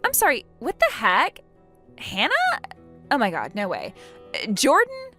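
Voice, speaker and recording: Lady doing an outraged young wife in a drama story, self-pitying, shrill when shocked, pitch jumps all over. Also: animated, moderate pace, a bit bright, soft background music. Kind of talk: acted dialogue in a melodramatic story.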